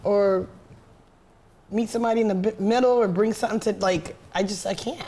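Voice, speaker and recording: A woman's voice: mid-range voice, fairly even intonation, brisk, warm tone. A woman co-hosting a podcast in casual chat with a friend, informal and a little hesitant as she thinks aloud. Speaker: podcast co-host.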